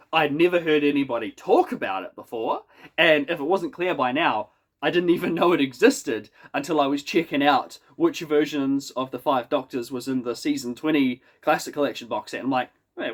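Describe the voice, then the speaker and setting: A man's voice: on the high side, big pitch swings, moderate pace. A man with a New Zealand accent and a head cold, giving a chatty, wry spoken review in a casual, self-deprecating way from his small apartment. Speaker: YouTube reviewer.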